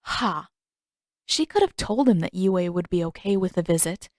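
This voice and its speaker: A woman's voice: fairly low pitch, expressive, normal speed, dry recording, clean studio sound. A woman reading scripted fiction as an audiobook narrator.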